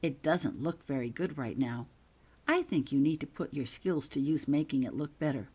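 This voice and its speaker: A woman reading the part of a brisk, matter-of-fact female magic teacher giving instructions in a storybook. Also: sits low, animated, a little mellow, husky. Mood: brisk, instructive. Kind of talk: storybook character dialogue.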